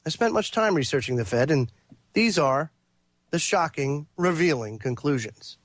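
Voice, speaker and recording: A man's voice: on the high side, animated, moderate pace, dry recording. A male talk radio host who sounds congested, delivering a monologue and reading passages aloud with frequent fillers and restarts. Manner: reads quotes and lists aloud, with fillers and restarts.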